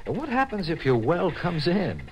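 An actor playing an easygoing utility inspector with an American accent, making casual, folksy small talk in an old-time radio drama. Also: male voice, fairly high pitch, huge pitch swings, measured pace. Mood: chatty, casual. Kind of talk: 1950s American radio crime drama acting. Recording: hissy old radio recording.

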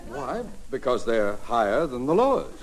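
A man's voice: medium pitch, sing-song, moderate pace, close to an everyday voice. Man playing a pompous, flustered radio comedy mayor. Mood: stuffy explaining. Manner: formal, exasperated, tongue-tied when riled. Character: pompous, easily flustered mayor who tangles his words. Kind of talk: 1940s radio comedy acting. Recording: noisy old radio recording before audience.

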